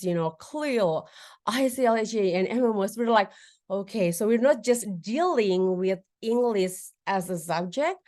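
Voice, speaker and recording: A woman lecturer with an Indonesian accent, whose first language is a regional Indonesian one, giving a webinar presentation over a video call, with fillers, restarts and questions to the audience. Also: medium pitch. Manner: lively, frequent fillers and restarts, addresses audience with tag questions.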